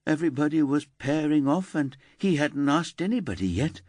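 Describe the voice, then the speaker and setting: A man's voice: a little high, moderate pace, a little mellow, no room sound, voice pushed up, close to an everyday voice. A man voicing a resentful teenage girl who whines and turns tearful. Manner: whiny and indignant, rising to wailing.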